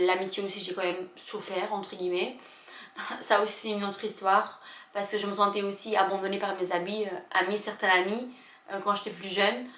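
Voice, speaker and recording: A young woman vlogger, not a native French speaker, telling a personal story in an emotional, halting way with many fillers and restarts. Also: mid-range voice, fairly bright tone. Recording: echoey room.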